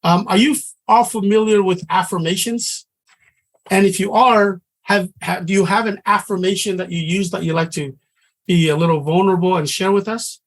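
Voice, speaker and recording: A man's voice: high-pitched, fairly even intonation, moderate pace. A middle-aged man from Samoa, teaching a trading class in an earnest, conversational way and often restarting his sentences. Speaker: trading instructor.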